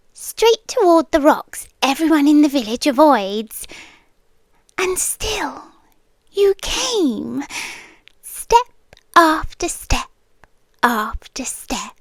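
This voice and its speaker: A woman's voice: high-pitched, no room sound, full character voice. A British woman voicing an ancient, possessive siren captor, sweetly menacing, in an intimate roleplay ASMR monologue that often drops toward a whisper. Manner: slow, coaxing, often dropping into whispers.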